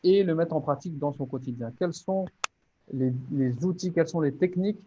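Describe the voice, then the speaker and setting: A man's voice: fairly high pitch, brisk, mellow, a little hiss or hum. Earnest motivating man, slightly muffled. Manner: earnest, motivating, explains step by step with many restarts. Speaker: Islamic teacher presenting his online course. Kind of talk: spoken course presentation.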